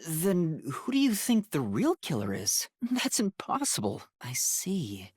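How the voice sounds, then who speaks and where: Young trainee detective, timid, earnest, breathy, very animated pitch, polite and hesitant, anime game dialogue, dry close sound. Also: male voice, unhurried, no echo, full character voice. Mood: polite, inquiring. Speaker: voice actor in a detective video game. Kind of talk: English anime-style game voice acting.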